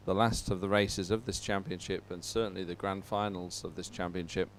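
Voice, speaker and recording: A man's voice: sits low, level tone, brisk, a little hiss or hum. A man presenting live coverage of a sporting event to viewers, talking as a broadcast host.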